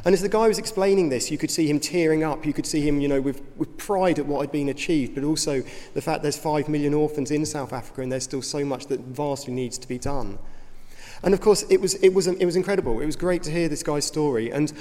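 Slightly hoarse man in echoing church. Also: fairly high pitch, fast, mellow. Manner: informal, earnest, with fillers. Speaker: youth ministry director. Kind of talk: church talk. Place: church hall. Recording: reverberant hall.

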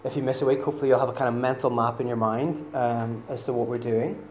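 A man's voice: mid-range voice, steady tone, fast, darkish tone, roomy sound, a little hiss or hum. A man from Northern Ireland with a Northern Irish accent, preaching a sermon in a chatty, self-deprecating way with many fillers. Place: church hall.

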